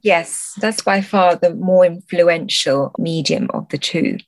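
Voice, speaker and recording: A woman with a slightly low voice speaks as a translator guesting on a podcast. She explains literary ideas thoughtfully, hesitating as she searches for the right word. The sound is dry, with no room echo. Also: takes it slowly.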